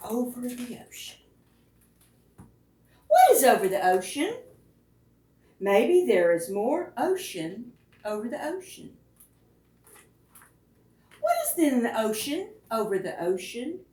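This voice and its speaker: An unhurried woman with a highish, lively voice reads picture books to small children. She often turns to them with questions, expressive throughout, as a children's librarian does at storytime. The sound is echoey and set back from the microphone. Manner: expressive, addresses young listeners with questions. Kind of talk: picture-book read-aloud for preschoolers. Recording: roomy echo, distant microphone.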